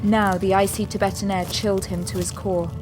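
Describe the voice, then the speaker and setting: Woman narrator over loud dramatic music. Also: medium pitch, flat delivery, fairly quick. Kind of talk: scripted dramatic narration of sci-fi audio drama.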